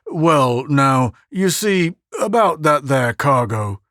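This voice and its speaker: A man's voice: mid-range voice, measured pace, fairly bright tone, no echo, close to an everyday voice. Man playing harried ship captain, weary, anxious, dry close sound.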